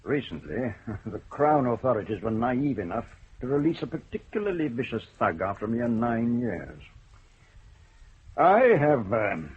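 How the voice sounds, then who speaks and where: A husky, dry old judge. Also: male voice, mid-range voice, very animated, full character voice. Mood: measured. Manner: measured, wry, deliberate. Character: stern elderly male Scottish judge, dry and ironic. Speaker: senior judge. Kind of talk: 1970s BBC radio drama acting. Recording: muffled old radio broadcast.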